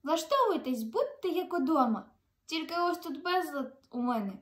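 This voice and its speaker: A woman's voice: high-pitched, lively intonation, normal speed, roomy sound, pitched above the performer's own voice. A young woman voicing a kindly old male wizard in a fairy tale, welcoming and emphatic.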